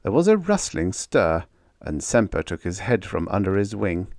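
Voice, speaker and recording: Animated low-voiced man narrating. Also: normal speed, dry recording, clean studio sound. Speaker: audiobook narrator. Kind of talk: scripted story narration.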